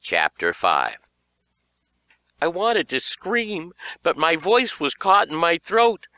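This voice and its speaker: A man's voice: fairly high pitch, wildly swooping pitch, slow, sharp tone, no room sound. A man narrating children's horror fiction in a theatrical, suspenseful audiobook style.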